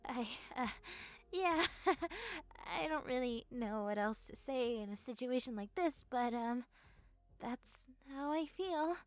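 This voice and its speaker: A woman playing a shy, sweet young goth best friend in an intimate audio roleplay, soft-spoken and breathy, with hesitant, stammering speech. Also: sits high, expressive, slow, no echo. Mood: nervous, bashful.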